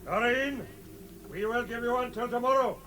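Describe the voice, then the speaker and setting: An actor playing a stern, noble leader of men in a formal, declamatory 1960s radio drama style. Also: male voice, very high voice, moderate pace, sharp tone, a little hiss or hum. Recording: vintage radio drama, faint music.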